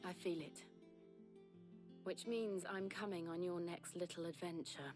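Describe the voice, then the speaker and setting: Quiet resolute young woman voiced by a woman, lowish voice, game music behind. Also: measured pace, close to an everyday voice. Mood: determined. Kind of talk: video game voice acting.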